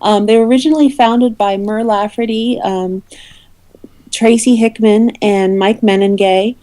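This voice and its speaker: Female interview guest, soft-edged mellow tone, mid pitch, conversational explainer who pauses and rewords. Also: fairly even intonation. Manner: hesitant, often rephrasing mid-sentence. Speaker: interview guest, awards committee head. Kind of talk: casual explanatory interview chat. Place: remote call into a podcast.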